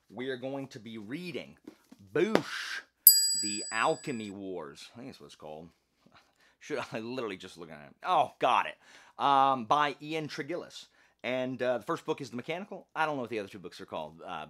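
A man hosting a book channel, chatting casually and emphatically in colloquial speech with self-deprecating humour. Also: lowish voice. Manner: animated, emphatic. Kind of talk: casual chatty vlog talk about books.

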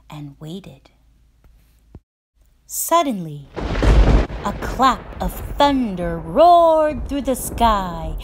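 A woman narrates a picture book for children. She takes her time over every phrase, very slowly and with dramatic emphasis. Her pitch moves in an animated way. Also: a little hiss or hum. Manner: deliberate, dramatic delivery with emphasis. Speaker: teacher reading a picture book aloud. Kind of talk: expressive children's storybook narration.

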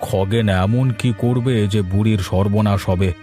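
Man as deep, mellow, stern village elder. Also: steady tone, normal speed, faint music behind, lightly acted. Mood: concerned. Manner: commanding, firm. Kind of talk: Bengali cartoon voice acting.

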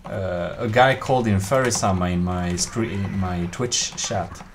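A man's voice: sits low, a little slow, a little hiss or hum. A man in his thirties streaming a game, chatting casually into a headset with lots of fillers and chuckles. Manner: relaxed, hesitant with many fillers, chuckling. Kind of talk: casual gameplay chat.

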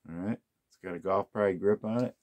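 A man's voice: low voice, fairly quick, a little mellow. A man casually talking through an unboxing of used golf clubs, musing aloud to himself as he inspects each one. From United States. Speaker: golf club unboxing host.

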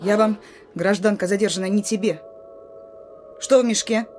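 A woman's voice: medium pitch, fairly even intonation, normal speed, close to an everyday voice. Woman playing a young female partisan guard, stern, suspicious, brusque folksy speech, radio play, music behind. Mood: stern, bossy. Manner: brusque, rustic colloquial commands. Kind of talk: Russian radio play acting.

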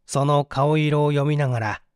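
A man's voice: on the high side, steady tone, moderate pace, clear, resonant tone, no echo, clean studio sound. A man reading a mystery story as an audiobook narrator, theatrical and suspenseful in his delivery. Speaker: audiobook reader.

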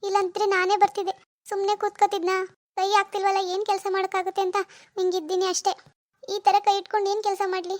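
High-voiced, scheming young wife played by a woman. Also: steady tone, brisk, close to an everyday voice. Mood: whiny self-pity. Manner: sly muttering alone, whiny playacting to others. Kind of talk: amateur domestic drama acting.

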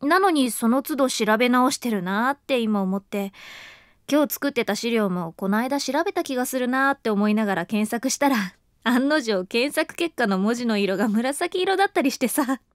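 A woman's voice: on the high side, expressive, moderate pace, no room sound, studio quality, close to an everyday voice. An actress playing a tired young office worker who keeps apologizing and narrates her own thoughts. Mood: apologetic. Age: young adult. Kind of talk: studio audio drama. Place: dry studio.

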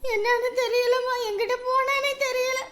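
A woman's voice: very high-pitched, steady tone, moderate pace, echoey room, background noise, pitched above the performer's own voice. A woman voicing a distraught village mother who pleads through tears for news of her missing daughter. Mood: anxious. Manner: pleading, sobbing.

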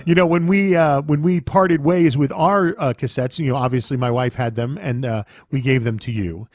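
A man's voice: medium pitch, steady tone, moderate pace, a little mellow, dry recording, clean studio sound. A man co-hosting a game show podcast, opening with upbeat energy and chatting casually. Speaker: podcast co-host. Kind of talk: casual chat.

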